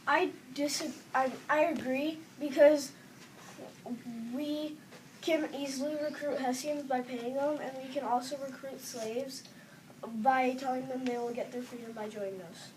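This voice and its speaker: A woman's voice: sits high, fairly even intonation, unhurried, a little mellow. A young female student with stammering restarts, arguing in a classroom debate. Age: child or young student. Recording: distant mic, clearly noisy room.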